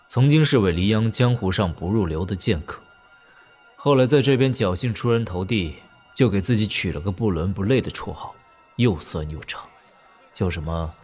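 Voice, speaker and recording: A man telling a scripted tale in a measured, wry manner. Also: on the low side, very animated, a little mellow, breathy edge, faint music behind. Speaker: narrator. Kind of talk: scripted storytelling with wry asides.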